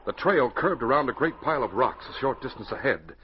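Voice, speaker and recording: A man's voice: mid-range voice, expressive, a little fast. A man narrating an adventure story in dramatic, scripted 1940s radio style. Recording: muffled, noisy 1940s radio recording.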